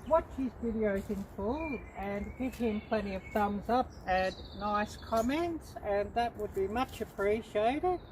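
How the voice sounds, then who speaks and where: Slow-talking woman chatting on a livestream. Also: mid-range voice, lively intonation, noisy background. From Australia. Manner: unhurried, chatty, many ums. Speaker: livestream host. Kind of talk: casual livestream chat with viewers.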